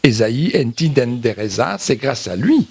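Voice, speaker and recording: A man from Europe giving unscripted interview answers as a guest, haltingly, often repeating words and phrases. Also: medium pitch, big pitch swings, vocal fry, breathy edge, no echo. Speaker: interview guest, visitor to Burundi.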